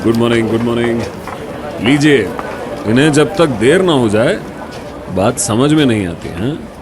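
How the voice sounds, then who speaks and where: A man plays a middle-aged college dean in a radio drama, with a medium-pitched voice. He talks like a loyal old friend, informal, frank and earnest. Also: animated, normal speed, crowd noise, background noise, close to an everyday voice. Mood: jovial, teasing. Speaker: actor in an audio drama. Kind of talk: Hindi radio drama acting.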